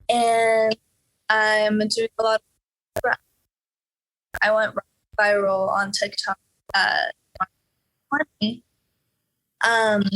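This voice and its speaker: A woman's voice: mid-range voice, fairly bright tone, low-quality recording. A teenage girl from Norway answering interview questions casually, hesitant, with many fillers and restarts. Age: teens. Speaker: interview guest, young musician.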